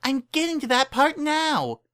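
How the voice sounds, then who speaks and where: A high, girlish cartoon filly spins a spooky tale with theatrical relish. She is a bossy young pony who wants to be heard out. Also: very animated, unhurried, fairly bright tone, full character voice. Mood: spooky, insistent.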